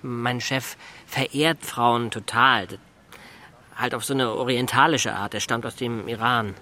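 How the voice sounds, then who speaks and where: An actor playing Thomas, a sober, slightly awkward IT consultant, in a literary audio drama with a hesitant manner. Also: male voice, mid-range voice, steady tone, unhurried, pushed and bright. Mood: awkward, earnest.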